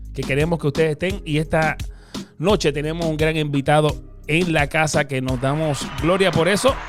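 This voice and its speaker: A man hosting a show with lively emphasis, his voice pitched a little high. His greetings and plugs come out with bright energy. Soft music sits faintly under him. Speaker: host. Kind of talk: enthusiastic show host, then relaxed interview.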